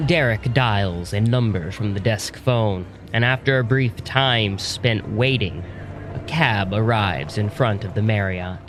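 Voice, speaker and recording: A man narrating a scripted audio drama in a measured, descriptive way. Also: on the low side, over music.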